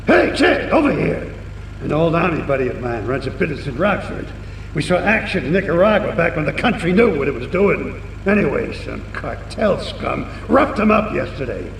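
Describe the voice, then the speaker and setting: An echoing, distant voice of a shifty middle-aged American veteran in a video game. He is gruff and jumpy, with gravel in a highish voice that swings widely. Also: big pitch swings, moderate pace. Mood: conspiratorial. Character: shifty, agitated corrupt middle-aged veteran with shady deals. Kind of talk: video game cutscene acting. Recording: echoey, distant, faintly noisy.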